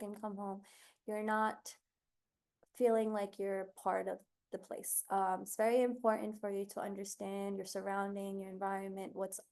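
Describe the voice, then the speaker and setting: A woman from New York City sharing her experience as a graduate student panelist, earnest and steady, with many fillers. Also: medium pitch. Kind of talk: panel answer on a video call. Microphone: video call.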